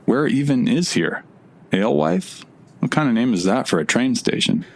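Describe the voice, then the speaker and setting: Man playing a gravelly, bewildered traveler. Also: mid-range voice, expressive, moderate pace. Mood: puzzled, wry. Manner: questioning, hesitant, wry. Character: bewildered male traveler from another world, questioning, wry. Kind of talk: audio drama dialogue.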